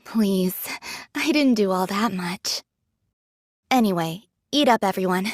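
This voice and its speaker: A woman's voice: mid-range voice, expressive, slow pace, no echo, clean studio sound. An actress playing a modest, pragmatic young female android soldier in an anime game, talking in a casual, thoughtful, matter-of-fact way. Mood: gentle, earnest.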